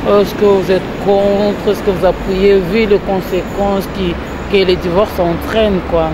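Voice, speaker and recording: An interviewer asking passers-by questions at a train station, halting and often rephrasing the question. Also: mid-range voice, little pitch movement. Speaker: street interviewer. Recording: loud noisy street interview.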